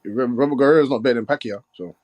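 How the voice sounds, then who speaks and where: A man in his twenties co-hosting a casual boxing chat. He argues his points quickly and keeps asking if you get him. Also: medium pitch, a little mellow, no room sound. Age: 20s. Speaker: boxing podcast co-host.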